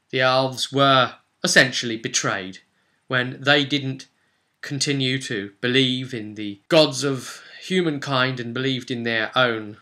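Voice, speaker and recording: A man narrating a fantasy role-play recap as game master, in a dramatic, theatrical storytelling style with emphatic phrasing and deliberate pauses. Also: mid-range voice, pushed and bright.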